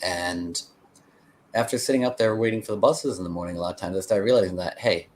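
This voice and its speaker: Male, American, low register. Interview guest who explains and meanders as he tells his story. Slight background noise. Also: fast talker, a little mellow. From United States (Connecticut). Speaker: interview guest, peace activist. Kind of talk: conversational storytelling.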